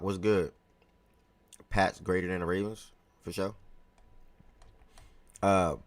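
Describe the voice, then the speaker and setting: A man hosting a live sports talk stream, chatty and relaxed, greeting viewers by name, his voice a little hoarse. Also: low-pitched, no echo. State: slightly hoarse from yelling the day before. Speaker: live show host.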